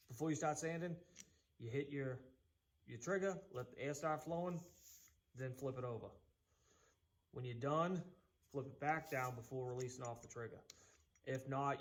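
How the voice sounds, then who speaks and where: Man, Boston accent, folksy DIY host, chatty asides, noisy workshop recording. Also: medium pitch. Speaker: DIY woodworking channel host. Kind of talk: casual how-to demonstration. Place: home workshop.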